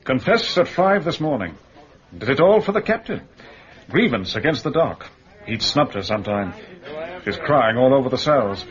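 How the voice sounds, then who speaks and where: A man's voice: medium pitch, sing-song, moderate pace, close to an everyday voice. An actor playing a weary, exasperated British policeman with a clipped, dry manner in a radio drama. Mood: dry, businesslike. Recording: dull, lo-fi radio recording.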